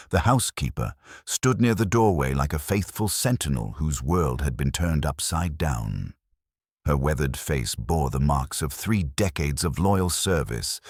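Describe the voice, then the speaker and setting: A man's voice: extremely low pitch, slightly rough, dry recording, clean studio sound. A man reading a mystery story as a formal, descriptive audiobook narration.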